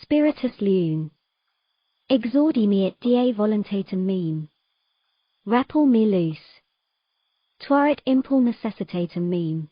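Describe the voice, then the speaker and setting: A woman's voice: medium pitch, expressive, a little slow, pauses often, dry recording, lo-fi sound. A woman playing a determined, defiant young mermaid with an insistent, urgent delivery in a dramatic audio story. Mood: incanting. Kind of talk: dramatic audio fiction acting.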